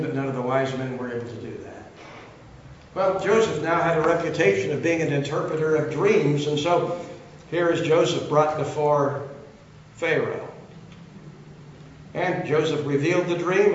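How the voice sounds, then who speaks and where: An older man preaching a sermon, retelling Bible stories, his throat rough and coughing as he talks around a cough drop. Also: on the high side. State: losing his voice, throat trouble. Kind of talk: sermon storytelling. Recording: very echoey, dull sound, faint hiss.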